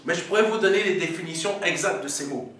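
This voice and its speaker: A man's voice: high-pitched, animated, fast, fairly bright tone. A male pastor preaching a Sunday sermon in a church, teaching and explaining Greek words, often stopping to say "Amen". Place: church sanctuary. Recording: very echoey hall, mic far off.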